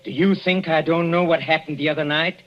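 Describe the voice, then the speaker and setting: A man's voice: fairly high pitch, fairly even intonation, fairly quick, lightly acted. An actor playing a sinister husband who has poisoned his wife, in 1940s radio acting on a dull old broadcast. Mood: menacing. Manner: softly gloating, sinister. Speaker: villain in a radio play.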